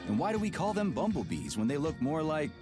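An actor voicing a gruff, sarcastic, blunt soldier in a video game. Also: male voice, a little high, huge pitch swings, fairly quick, over music. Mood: sardonic. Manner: blunt, wisecracking, curt. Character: gruff, sarcastic, irreverent Soviet special agent soldier, a major.

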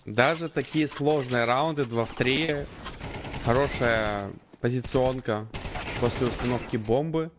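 Man, esports caster, mid-pitched voice, analytical live commentary, measured phrasing, noticeable gunfire and game noise behind.

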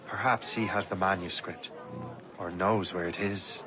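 An actor playing a young sea captain in a game, earnest and troubled by doubt. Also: male voice, sits low, fairly even intonation, measured pace, a crowd in the background, noisy background. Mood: grave. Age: young adult. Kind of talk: dramatic game cutscene acting.

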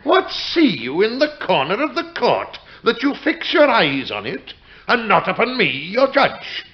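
A stern British judge in a period courtroom radio play. His highish voice swings widely as he speaks with scornful, theatrical formality. The vintage recording is dull. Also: huge pitch swings, unhurried, exaggerated voice. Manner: imperious, theatrical, archaic formal diction. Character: stern, scornful Lord Chief Justice of old England.

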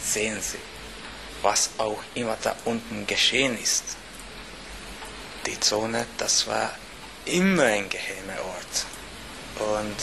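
Slow, gravelly older secretive official. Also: male voice, on the low side. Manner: halting, deliberate, ominous. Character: grave, secretive Russian former space official, older man. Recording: noisy ambience behind the voice.

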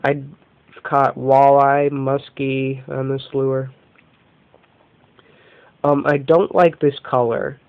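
A man giving an unscripted show-and-tell as an amateur vlogger, talking in halting, short phrases on a camera microphone indoors. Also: medium pitch, level tone, smooth. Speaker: amateur fishing vlogger showing lures. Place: indoors, carpeted room at home. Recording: dull, muffled lo-fi home video.

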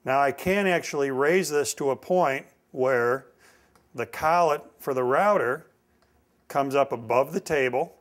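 A man's voice: mid-range voice, measured pace. A middle-aged man with an American accent giving a step-by-step instructional demonstration, stressing key points with repetition. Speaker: woodworking instructor. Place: indoor woodworking shop. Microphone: lapel mic.

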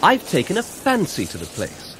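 An actor playing a breezy, proud young gentleman with an airy, careless manner in a radio drama. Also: high-pitched, huge pitch swings, moderate pace. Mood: breezy, amused. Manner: airy, dismissive, laughing. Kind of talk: 1990s radio drama acting. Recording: clear background ambience noise.

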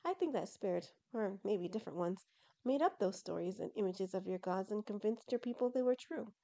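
A woman's voice: mid-range voice, lively intonation, fairly quick, dark tone, lightly acted. A woman voicing a plain-spoken, thoughtful male outsider in an audio story, reasoning in a matter-of-fact way. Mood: skeptical.

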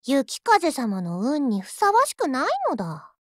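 Haughty little anime ship girl, mobile game. Piping high voice, lively inflection, bratty bragging, dry studio sound. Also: unhurried, no room sound, full character voice. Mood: smug, boastful. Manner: boastful, tsundere, ends sentences with a verbal tic. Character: haughty, childish warship girl with animal ears. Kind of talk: Japanese anime game character voice lines.

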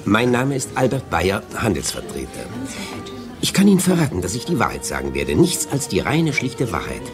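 Pompous salesman with wildly swinging pitch. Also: wildly swooping pitch, moderate pace, music in the background. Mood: self-important. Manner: pompous, emphatic. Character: Albert Bayer, self-important salesman vowing pure truth. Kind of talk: radio play acting.

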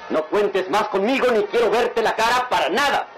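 A man's voice: sits high, normal speed, fairly bright tone, faint music behind, poor audio. An actor playing a stern, hot-tempered father in a melodramatic radio drama, commanding and declamatory. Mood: bitter, wounded.

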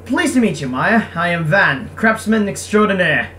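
A woman's voice: on the low side, expressive, moderate pace, a bit bright. A woman voicing a proud, sarcastic young male craftsman in a visual novel game. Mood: scheming, boastful. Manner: sarcastic, boastful. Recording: distant mic, faint background noise.

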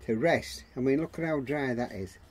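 A man's voice: mid-range voice, normal speed, darkish tone. Older man, noisy recording. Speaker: allotment gardener. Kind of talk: casual explanatory chat. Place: open-sided greenhouse on an allotment. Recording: noisy handheld outdoor camera audio.

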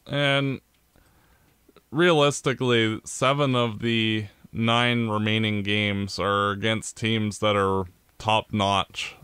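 A man co-hosting a casual sports podcast, talking in a gloomy, measured way. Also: on the low side, fairly bright tone, smooth, no echo. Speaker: podcast co-host.

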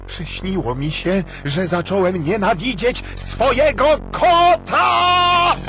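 A man's voice: high voice, slow pace. A young man playing a timid, whiny, chubby boy in a cartoon sketch, with music under him. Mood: distressed.

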